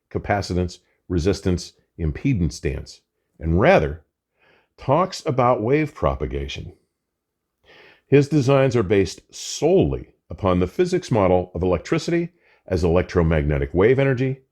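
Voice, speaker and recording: An older man with a low-pitched voice hosts a scripted equipment review. His intonation swings widely as he reads, stressing key terms with care. The phrasing is formal and measured. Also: darkish tone. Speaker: audio equipment reviewer and host. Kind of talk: scripted product review narration.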